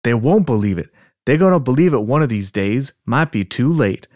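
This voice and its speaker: Guy putting on a shaken southern fella, folksy and insistent, dry close sound, mid pitch. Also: animated, normal speed, darkish tone, no room sound, lightly acted. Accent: put-on Southern US. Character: shaken Southern man insisting he saw otherworldly visitors. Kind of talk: amateur dramatic reading of a transcript.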